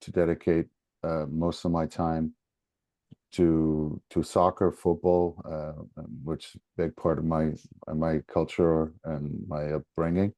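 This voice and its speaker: A man from Portugal with a Portuguese accent, talking casually as a guest in a hesitant, halting way. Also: extremely low pitch, flat delivery, warm tone, dry recording. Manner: hesitant, halting, repeating words. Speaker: podcast guest, soccer coach. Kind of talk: casual conversation.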